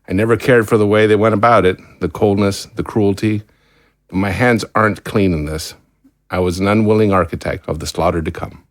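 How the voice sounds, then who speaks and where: An actor playing a resigned, guilt-ridden scientist in an audio drama, grave and measured. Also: male voice, fairly low pitch, steady tone, dry recording, studio quality, lightly acted. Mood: remorseful, resigned. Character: remorseful, resigned male scientist, weary and principled.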